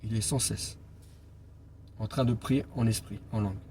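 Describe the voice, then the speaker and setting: A man preaching an informal Bible teaching as an evangelist, speaking deliberately and pausing on key phrases for emphasis. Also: on the low side, steady tone, a little mellow. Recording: clear background noise and ambience.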